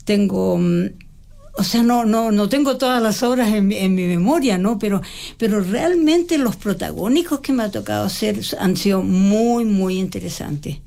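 An older woman, a stage actress, answering interview questions in a reflective, halting way with frequent restarts. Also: medium pitch, gravelly, dark tone. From Mexico. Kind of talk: conversational interview.

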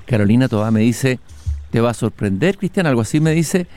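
A man hosting a relaxed interview while walking outdoors in a garden, speaking in a reflective, measured way with hesitations. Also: mid-range voice, faint music behind. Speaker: host.

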